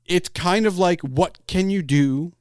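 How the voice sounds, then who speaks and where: A man hosting a casual interview podcast, thinking aloud in a halting way and often restarting his phrases. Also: high-pitched, darkish tone, no echo, studio quality. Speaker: podcast host.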